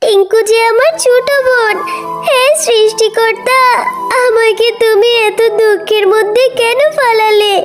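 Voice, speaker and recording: A woman's voice: extremely high pitch, little pitch movement, unhurried. A woman voicing a meek, plaintive young orphan fox girl in a children's cartoon. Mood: hurt, pleading. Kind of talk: Bangla children's cartoon dubbing. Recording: loud music behind.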